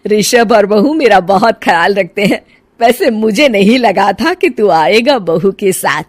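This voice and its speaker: A woman's voice: medium pitch, lively intonation, measured pace, no room sound. A woman voicing an elderly, emotional and sulky mother-in-law in a cartoon moral story. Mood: calm, cool.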